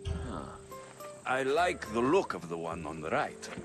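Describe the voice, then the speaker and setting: An actor playing a young, sardonic, impatient mercenary hero in a video game, speaking dryly and bluntly. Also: male voice, lowish voice, very animated, unhurried, over music. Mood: dry, firm. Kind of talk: video game character acting.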